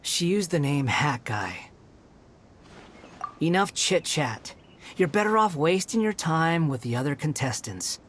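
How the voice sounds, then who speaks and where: A man's voice: on the high side, big pitch swings, unhurried, fairly bright tone, slight background noise. An actor playing an aloof, sardonic young man who mocks and dodges questions, in video game dialogue. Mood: dismissive. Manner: mocking, dismissive, evasive.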